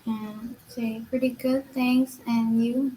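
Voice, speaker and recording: A woman's voice: on the high side, level tone, slow pace, warm tone, a little hiss or hum, poor audio. A woman learning English, hesitant and brief, repeating phrases after her tutor. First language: Vietnamese. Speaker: English student.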